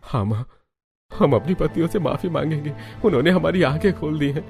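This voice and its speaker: A man's voice: a little high, lively intonation, brisk, creaky, darkish tone, faint music behind. A man playing a remorseful young village husband and son in a cartoon story, earnest and pleading. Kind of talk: Hindi cartoon story acting.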